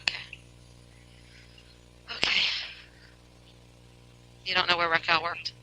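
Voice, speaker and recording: A woman's voice: on the low side, monotone, takes it slowly, sharp tone, poor audio. A middle-aged woman in an earnest family phone conversation, asking questions and reassuring as a mother on a recorded jail call.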